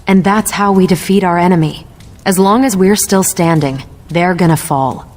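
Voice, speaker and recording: A woman's voice: on the low side, moderate pace, a little mellow, slight background noise, natural voice. An actress playing a confident military superhero woman in a video game, explaining things in an assured, lightly teasing way. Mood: resolute, teasing. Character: confident adult superhero woman, sharp patient military mentor.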